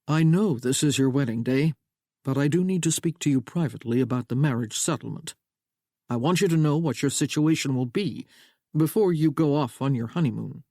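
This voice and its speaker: A man voicing an older American father with a booming drawl, proud and protective of his daughter. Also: fairly quick, mellow, no room sound, studio quality, lightly acted. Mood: fatherly.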